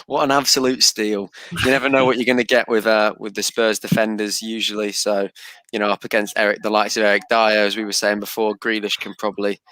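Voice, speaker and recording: A man with a lowish voice hosts a relaxed panel chat, chuckling as he moves the conversation along. The sound is dry and close, with no room echo. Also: brisk. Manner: laughing, steering the talk along. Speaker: host.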